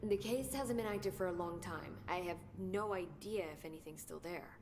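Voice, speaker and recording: A woman voicing a brisk, curious researcher. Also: lowish voice, natural voice. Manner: brisk, informative, slightly excited. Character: eager female bureau researcher, brisk and curious. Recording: game audio with clear noise.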